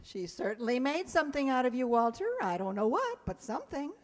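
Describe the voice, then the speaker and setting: A woman playing a wry, sarcastic high school teacher in old-time radio comedy style. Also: very animated, a little fast, a little hiss or hum. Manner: dry, wisecracking. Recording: reverberant hall recording.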